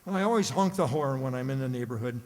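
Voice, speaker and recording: A man's voice: medium pitch, lively intonation, fast talker, soft background music, natural voice. A man playing a stuffy, pompous middle-aged school principal in an old-style radio comedy, formal and given to hemming and hawing. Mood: confiding, pompous. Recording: echoey hall, long reverb.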